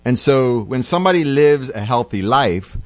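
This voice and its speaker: A middle-aged man hosting a radio call-in show, listening warmly to callers and then reflecting at length. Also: mid-range voice, lively intonation, moderate pace, dry recording. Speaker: radio talk host.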